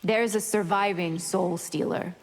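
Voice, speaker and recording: A woman's voice: mid-range voice, steady tone, normal speed, noisy background, natural voice. Woman playing a haughty vampire, reverberant. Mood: haughty, cool. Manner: cool, disdainful. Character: haughty, predatory female vampire, coolly menacing. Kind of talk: fighting game character dialogue. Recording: long reverb.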